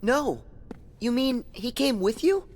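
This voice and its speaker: A man's voice: very high voice, lively intonation, takes it slowly, darkish tone, a little hiss or hum, full character voice. A man voicing an earnest, determined and loyal teenage boy hero in a video game. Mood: subdued, concerned. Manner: earnest, emphatic. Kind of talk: animated video game voice acting.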